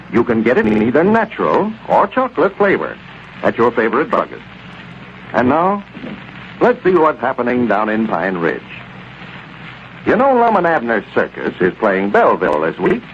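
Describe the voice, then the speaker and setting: A man announcing in a folksy, chatty 1940s radio commercial style. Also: medium pitch. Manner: folksy, chatty sales talk. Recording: dull old radio broadcast with faint hiss.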